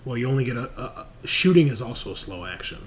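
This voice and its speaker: Male game master for tabletop sessions, mid-pitched, explains mechanics and narrates action. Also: very animated, fast. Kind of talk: running a tabletop roleplaying game online.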